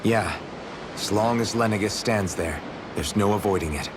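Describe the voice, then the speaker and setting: A man's voice: lowish voice, normal speed, noisy background, lightly acted. An actor playing a determined, plain-spoken young swordsman hero in a video game, with music behind him. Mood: resolute.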